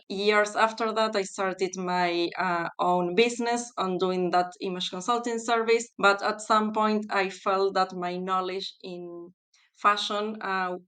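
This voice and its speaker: Smooth-voiced woman. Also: medium pitch, fairly bright tone. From Argentina. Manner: thoughtful, with fillers and pauses. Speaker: guest, textile specialist. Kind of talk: podcast interview.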